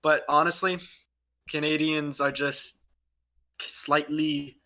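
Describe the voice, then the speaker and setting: Man, podcast host, rambling casual chat, laughs a lot, bright tense tone. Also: fairly high pitch, little pitch movement, slow. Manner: rambling, laughs often, jumps between topics.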